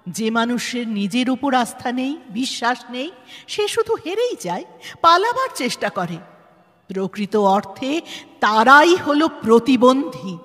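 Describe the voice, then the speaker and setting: A woman acting as a resolute survivor in a radio-style play, with a Bengali accent and an animated, swooping delivery. Her voice is bright yet husky, and she speaks with emphatic, dramatic pauses in a long reverb. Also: on the high side. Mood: firm, admonishing. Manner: dramatic, emphatic, deliberate pauses, switches into English. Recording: reverberant hall effect under music.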